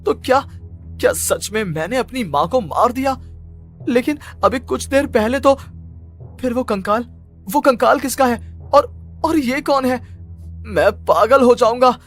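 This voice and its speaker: A man's voice: very high-pitched, animated, unhurried, long pauses, soft background music, full character voice. A man playing a panicky, haunted male writer who talks in agitated, repetitive disbelief in a horror cartoon story. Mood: panicked. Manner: agitated, repeats himself in disbelief.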